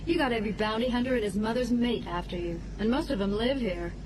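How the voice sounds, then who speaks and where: An actress playing a tough, independent smuggler pilot with a brash, needling manner in a science fiction audio drama. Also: female voice, fairly high pitch, fast talker, close to an everyday voice. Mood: teasing. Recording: clear spaceship ambience noise.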